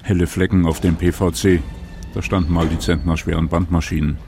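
A man's voice: deep voice, flat delivery, a little fast, background noise. A man narrating a personal radio documentary in a reflective, wry, conversational way. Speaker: radio feature author and narrator.

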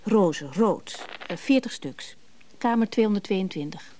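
A woman's voice: medium pitch, expressive, measured pace, dark tone, a little hiss or hum, lightly acted. An actress playing a curt, imperious wealthy woman in a radio play, giving clipped orders. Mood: curt, commanding.

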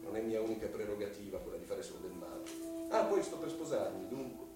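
An actor playing a scheming, lecherous middle-aged landowner in a radio drama, speaking in a theatrical, insinuating way with pauses and hushed words. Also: male voice, on the low side, lively intonation, lightly acted. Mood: sly, insistent. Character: scheming, lecherous widowed male landowner, insinuating and self-justifying. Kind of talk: Italian radio drama acting. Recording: very echoey, distant voice over music.

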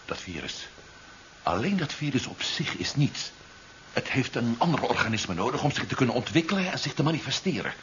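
An actor playing a grave, earnest investigator in a 1970s science fiction radio play, speaking insistently and dramatically. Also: male voice, medium pitch, big pitch swings, a little fast, fairly bright tone. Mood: grave realisation. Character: urgent, grave male investigator warning of alien invasion. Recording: noisy, dull 1970s radio recording.

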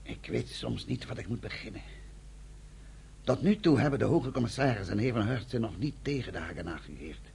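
An actor playing a weary, grave underground army commander in a radio drama, with a husky, gravelly voice. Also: male voice, on the low side, lively intonation, a little fast, darkish tone, heavily acted. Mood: troubled, firm.

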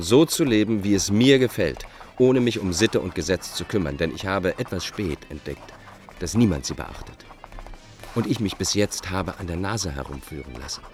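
An actor in a radio play voicing a defiant, brooding fugitive of about forty, in a deliberate, theatrical manner. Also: male voice, fairly low pitch, a little mellow, close to an everyday voice. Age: 40s. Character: defiant, self-absorbed forty-year-old male fugitive, bored no more. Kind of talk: radio drama acting, interior monologue and dialogue.

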